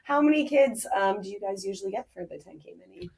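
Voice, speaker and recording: A woman's voice: mid-range voice, animated, fast talker, a little mellow, slightly rough. A young woman, a team's fan engagement director and stadium announcer, chatting casually on a podcast, bubbly and full of fillers. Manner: bubbly, laughs often, filler-heavy.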